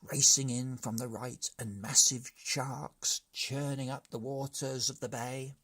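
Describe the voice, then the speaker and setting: An inventive young captive, acted by a man reading aloud spins a tall tale in a storybook. The delivery is earnest and measured, with a husky grain to the voice. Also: mid-range voice, steady tone, a bit bright. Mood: dramatic. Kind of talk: storybook character voice.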